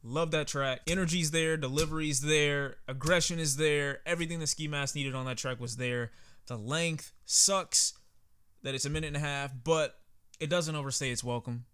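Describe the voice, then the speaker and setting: A man's voice: fairly high pitch, fairly even intonation, normal speed, fairly bright tone, clear, resonant tone. A man reviewing an album in a casual, candid way, talking conversationally with frequent filler words. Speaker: music reviewer. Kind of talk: casual album reaction commentary.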